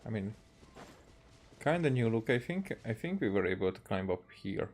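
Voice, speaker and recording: A man's voice: on the low side, sing-song, moderate pace, a little mellow. A man with a Hungarian accent casually commentating on a video game, musing aloud in short fragments. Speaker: game streamer.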